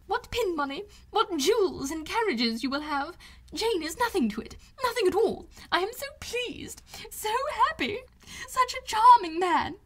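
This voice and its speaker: Excitable middle-aged mom for an audiobook, really high voice, gushing and fluttery, breathless. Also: female voice, full character voice. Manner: breathless exclamations, effusive. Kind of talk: audiobook character voice.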